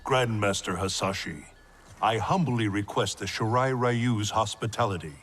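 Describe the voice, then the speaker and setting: A man playing a wise, solemn thunder god in a video game, speaking in a grave, formal, measured way. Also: on the low side, a bit bright, slight background noise, lightly acted. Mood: grave, resolute.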